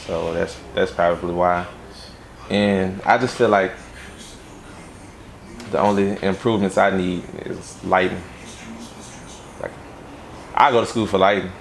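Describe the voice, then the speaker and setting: Low gravelly laid-back young man. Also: fairly even intonation, measured pace, long pauses, slight background noise, rough recording. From Los Angeles, raised in Baton Rouge, Louisiana. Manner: laid-back, many "like" fillers and restarts. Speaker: music video director, interviewee. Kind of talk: casual interview answers.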